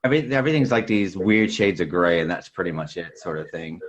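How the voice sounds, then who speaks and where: A man's voice: low-pitched, fairly even intonation, fast talker, lo-fi sound. A man speaking as a podcast host in a casual film discussion, often tacking small verbal tags onto his sentences. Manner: repeats verbal tags like "sort of thing".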